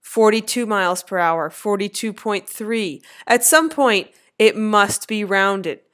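A woman in her thirties narrating a slideshow lecture as an instructor, with clear, lively teacherly emphasis. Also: mid-range voice, takes it slowly. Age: 30s.

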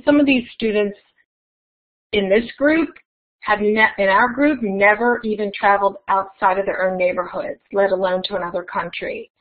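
A woman giving a conference keynote as a webinar presentation, friendly and guiding the audience through an interactive activity. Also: mid-range voice. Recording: tinny phone-like webinar audio.

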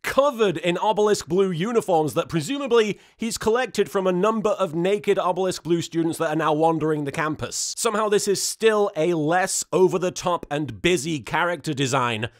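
A man's voice: high voice, moderate pace, few pauses. A man in his thirties giving a playful, sarcastic comedy recap to camera in a theatrical manner. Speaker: host of an episode recap and reaction series.

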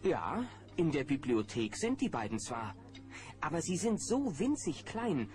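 A narrator telling a children's audio play in an expressive storytelling style. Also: mid-range voice, unhurried, faint music behind.

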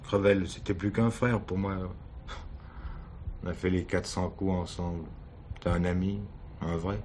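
An actor playing a wounded, weary husband suspected of murder, halting and defensive, in a French radio crime drama. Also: male voice, deep voice, steady tone, a little mellow. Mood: bitter, hurt. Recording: noisy old radio drama recording.

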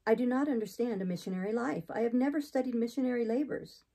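A woman's voice: on the high side, fast talker, warm tone. A woman voicing an earnest, troubled young woman in an audiobook, hesitant and pleading as she resists. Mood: doubting. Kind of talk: audiobook character reading.

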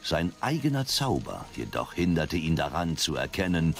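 A man's voice: rumbling low, very animated, normal speed, creaky, exaggerated voice. A man narrating a fantasy audio drama in a dramatic scripted style. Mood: solemn. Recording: loud music behind the voice.

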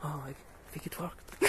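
A man's voice: on the high side, a bit bright, a little hiss or hum. A young man, a native French speaker, chatting casually and laughing often while hiking outdoors, filming on a handheld camera. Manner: giggly, coaxing, laughs often. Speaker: vlogger. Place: outdoors on a dirt hillside path. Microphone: handheld camera filming while walking.

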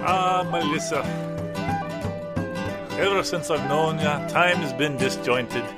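A man playing an anxious, lovelorn suitor, rambling plaintively in halting phrases in an improvised comic monologue. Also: fairly high pitch. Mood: pleading, wistful. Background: guitar music.

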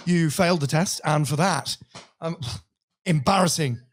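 A brash, irritable British celebrity chef, played by a man with a fairly high voice. He is clipped and bossy on a prank call, swears freely and keeps punctuating his orders with curt check-ins. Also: measured pace, slight background noise, close to an everyday voice. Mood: exasperated. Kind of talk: prank phone call impression. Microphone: large studio microphone in a small room.

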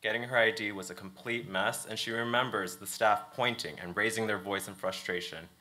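A young man speaks at a stage lectern, drawing the audience in with direct questions. His voice sits a little low but sounds bright and forward. It is a steady, prepared presentation. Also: fairly quick, rough recording. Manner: engaging, asks audience questions. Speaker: student presenter. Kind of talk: thesis presentation talk. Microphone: lectern mic.

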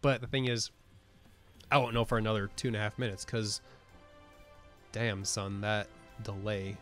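A man casting a tournament match of a video game, with excited play-by-play and casual asides, sniffling from a cold. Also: fairly low pitch, normal speed, soft background music. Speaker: esports caster. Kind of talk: game commentary.

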